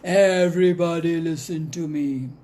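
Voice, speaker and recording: A woman voicing a big, royal male lion who speaks slowly and commands. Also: animated, warm tone, slight background noise. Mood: commanding. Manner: slow, booming, regal.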